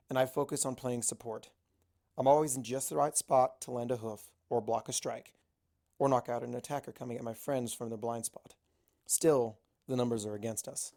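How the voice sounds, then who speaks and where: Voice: mid-range voice, darkish tone. An amateur narrator reading a first-person fantasy adventure story aloud. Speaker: amateur fan fiction narrator.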